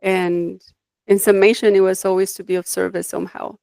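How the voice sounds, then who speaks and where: A woman's voice: medium pitch, level tone, brisk, vocal fry, dark tone, clean tone, no echo. Reflective woman from Mexico, dry sound. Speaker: guest, life design coach. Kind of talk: conversational interview, personal storytelling.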